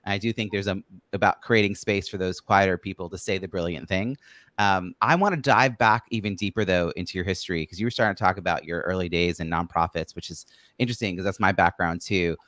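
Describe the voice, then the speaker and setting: A man's voice: deep voice, fast talker, a bit bright, no room sound. A man hosting a podcast interview, outgoing and talkative, building up long conversational questions phrase by phrase.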